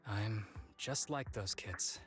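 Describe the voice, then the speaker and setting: A man's voice: on the low side, slow pace, a bit bright. A man voicing an easygoing young fighter with a hidden painful past in a video game, breezily downplaying serious things. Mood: confessional. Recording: background music under the voice.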